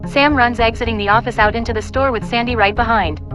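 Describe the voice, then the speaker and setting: A woman narrates scene directions for an audio play in a fairly high voice. She reads as a scripted narrator, with prominent music behind her. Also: steady tone, fast talker, poor audio. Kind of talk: scripted screenplay narration, reading stage directions.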